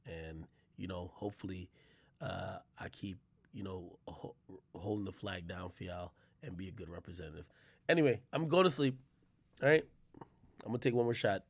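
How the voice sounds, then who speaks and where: A man's voice: sits low, moderate pace. A man live-streaming, chatting loosely and profanely straight to his viewers. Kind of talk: casual live-stream chat.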